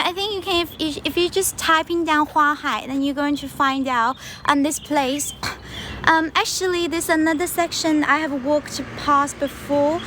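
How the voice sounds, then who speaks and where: High-voiced young Chinese woman. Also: pushed and bright, slight background noise. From China. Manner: chatty, switches between English and Mandarin, frequent fillers. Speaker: livestream host. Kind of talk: casual livestream chat while walking outdoors. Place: outdoors on a path in a wetland park.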